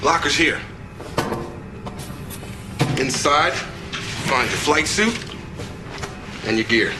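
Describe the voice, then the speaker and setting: A man's voice: fairly high pitch, animated, very slow, background noise. A man playing an easygoing, wry fighter pilot in a video game, talking like a playful tour guide. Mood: breezy, joking. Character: easygoing, wry young fighter pilot showing a newcomer around. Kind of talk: video game cutscene acting. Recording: clear music behind the voice.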